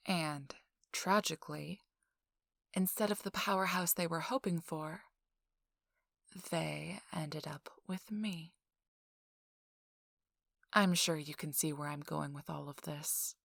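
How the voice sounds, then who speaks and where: A woman's voice: deep voice, gravelly, a bit bright, no room sound, full character voice. A woman playing a feisty, self-assured young socialite in an intimate ASMR audio roleplay, teasing and dryly sarcastic, sometimes dropping toward a whisper. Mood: wry, confiding. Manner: slow, teasing, dry sarcasm, drifting into whispers.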